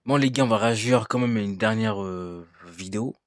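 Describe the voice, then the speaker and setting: A man with a fairly low voice and a close, dry sound. He comments casually on clips, repeats his fillers and lectures his viewers. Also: brisk, no room sound. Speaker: reaction video host.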